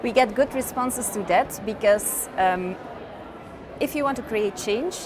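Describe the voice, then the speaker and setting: A woman's voice: a little high, a little slow. A woman whose first language is Dutch, answering interview questions as a sustainability consultant in an earnest, upbeat, step-by-step way. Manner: earnest, positive, explains step by step. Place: trade fair. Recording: busy crowd noise behind.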